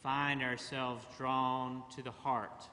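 A middle-aged man preaching a sermon from a church pulpit, slow and measured with long pauses. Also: mid-range voice, level tone, bright, forceful tone, a little hiss or hum. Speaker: pastor. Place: large church sanctuary, at a pulpit. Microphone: pulpit mic, far camera. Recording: church hall reverb.

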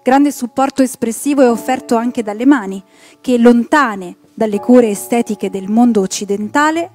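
High-voiced woman reading on stage. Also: moderate pace, a little mellow. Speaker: awards presenter. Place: theatre stage. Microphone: handheld microphone. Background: music.

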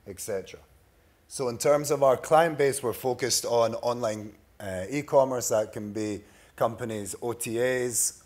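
A man in his thirties with a Scottish accent, giving a prepared startup pitch at a conference. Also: darkish tone. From Scotland. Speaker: startup pitch presenter, sales executive. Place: large bright conference room, at a podium. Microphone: podium microphone.

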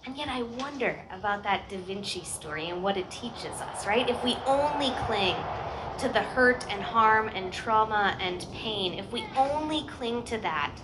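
American lady pastor doing a chatty earnest sermon outside, mid pitch, headset mic, bit of ambient noise. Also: expressive, measured pace. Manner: earnest, informal, rhetorical questions. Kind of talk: conversational sermon. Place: outdoor church service in a garden. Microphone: headset microphone. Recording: outdoor live recording with ambient noise.

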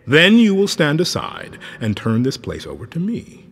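A man's voice: medium pitch, wildly swooping pitch, normal speed, creaky, a bit bright, breathy edge, full character voice. An actor playing a coldly courteous, menacing criminal mastermind in a video game, formal and pompous in his delivery. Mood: threatening. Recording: long reverberant hall.

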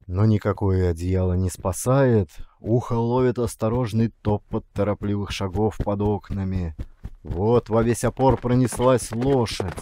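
A man narrating a literary story as an audiobook, with expressive, suspenseful delivery. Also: fairly low pitch, measured pace.

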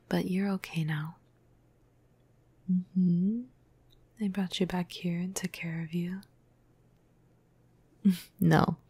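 Breathy, whispering female vampire sweetheart, acted by a woman. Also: no echo, exaggerated voice. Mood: apologetic, tender, then teasing, flirty. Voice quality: breathy, soft, husky, low. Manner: intimate, hesitant, casual, teasing. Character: flirty, apologetic, caring female vampire crush. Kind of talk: whispered ASMR roleplay. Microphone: close microphone, dry.

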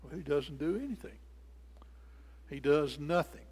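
Older male preacher, slightly high voice, explanatory sermon delivery, long church reverb. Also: expressive, measured pace, darkish tone, husky. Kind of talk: sermon, expository Bible teaching. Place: indoors in a church sanctuary at a lectern.

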